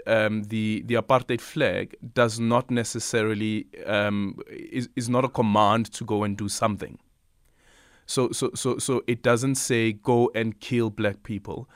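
A man's voice: medium pitch, dry recording, studio quality. A man hosting a radio interview, putting long questions to a guest, repeating words as he starts. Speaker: radio host.

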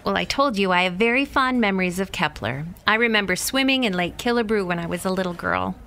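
A woman's voice: mid-range voice, lively intonation, quick pace, gravelly, fairly bright tone, a little hiss or hum. A woman playing a cheerful but exasperated wife, reasoning insistently with her husband in a radio play. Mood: coaxing, exasperated.

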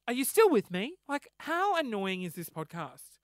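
Animated hoarse Australian man rambling. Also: very high-pitched, a little slow, no echo, clean studio sound. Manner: rambling, self-mocking, sweary and animated. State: hoarse, losing his voice. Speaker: podcast host. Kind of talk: casual solo storytelling.

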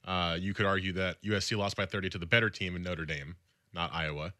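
A man co-hosting a sports radio show, talking college football in quick, casual conversation with a lot of filler words. His voice is slightly raspy from a recent illness. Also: low voice, fairly even intonation, bright, forceful tone, no room sound, clean studio sound. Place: radio studio.

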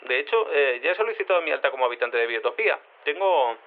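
A man's voice: on the high side, lively intonation, fast, narrow, tinny audio, close to an everyday voice. A man playing a naive, rambling quantum cat in human form, interviewed in an audio drama, speaking casually and hesitantly. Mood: earnest, hesitant.

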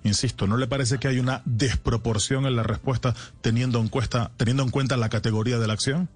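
Man interviewer, fast speech, husky voice, insistent long questions. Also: mid-range voice, steady tone. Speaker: co-host asking questions.

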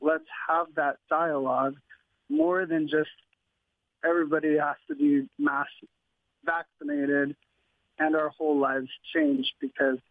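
A man's voice: on the high side, expressive, unhurried, vocal fry, no room sound. A man calling into a radio show, speaking earnestly and pleading to be heard. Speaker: caller. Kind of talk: phone interview. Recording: tinny phone line.

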